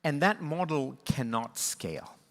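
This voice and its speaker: A man's voice: fairly high pitch, lively intonation, a little slow, fairly bright tone. Earnest male panel moderator in a reverberant hall. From born in Sri Lanka, raised in East and West Africa. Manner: earnest, reflective, with frequent restarts and fillers. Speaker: panel moderator, conservation charity leader. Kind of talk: closing remarks at a livestreamed panel.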